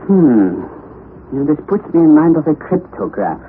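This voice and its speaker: An actor playing an impetuous, eccentric, scholarly professor of about fifty, excitable and exclamatory, in a vintage radio drama. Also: male voice, on the high side, normal speed. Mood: musing. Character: eccentric, impetuous middle-aged male professor. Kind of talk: 1960s radio drama acting. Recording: tinny lo-fi vintage radio broadcast with hiss.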